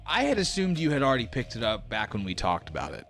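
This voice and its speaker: Male game master, mid-pitched, dramatic storyteller with casual asides, music bed. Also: wildly swooping pitch, quick pace, gravelly, a bit bright. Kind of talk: narrating combat in a tabletop game. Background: background music.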